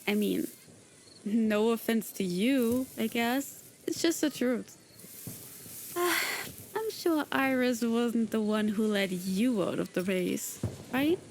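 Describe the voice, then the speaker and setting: Woman doing a snooty non-human lady, high voice, slow bored drawl. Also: a little hiss or hum. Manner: slow, languid, disdainful drawl. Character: aloof haughty non-human woman, dryly condescending. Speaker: virtual streamer voicing her persona. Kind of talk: scripted roleplay addressed to the listener.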